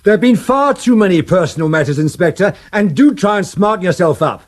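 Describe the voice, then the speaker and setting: An actor playing an exasperated police superintendent in a radio crime drama, clipped and disapproving, with a British accent. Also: male voice, sits high, lively intonation, brisk. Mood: stern.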